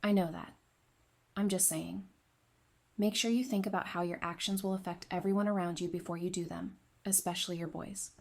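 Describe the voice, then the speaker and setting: A woman's voice: fairly low pitch, fairly even intonation, brisk. A woman playing a frank, loyal and caring friend in an audio drama. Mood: stern, confronting.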